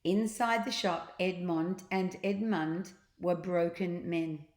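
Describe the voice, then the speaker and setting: A woman storyteller with a slightly low voice takes her time through a children's book. She reads theatrically and chats to listeners in asides. The room around her echoes. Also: steady tone, slow pace, a little mellow. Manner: theatrical, acts out sound effects. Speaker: storyteller reading children's books aloud. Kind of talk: expressive read-aloud narration with asides to listeners.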